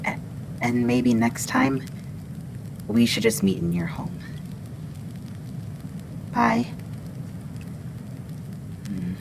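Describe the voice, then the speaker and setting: A woman playing a friendly but fiercely protective female wendigo, speaking haltingly and deliberately in an ASMR roleplay audio drama. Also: wildly swooping pitch, vocal fry, full character voice. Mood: gentle reassurance. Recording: noisy low background ambience.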